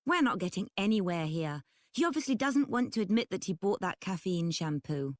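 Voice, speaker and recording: A woman's voice: on the low side, sing-song, brisk, no echo. A woman voicing a bossy, cautious companion to the hero in a video game. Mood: impatient, warning. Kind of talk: video game character dialogue.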